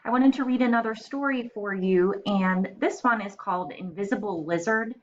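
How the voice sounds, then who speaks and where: A woman reading aloud as a teacher, narrating a storybook and pausing to explain in simple terms. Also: medium pitch, highs cut off, a little hiss or hum.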